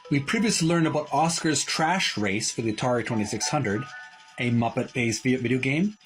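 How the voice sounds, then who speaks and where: A man hosting a retro gaming channel, casually narrating a show-and-tell and often pausing mid-phrase. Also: fairly high pitch, poor audio.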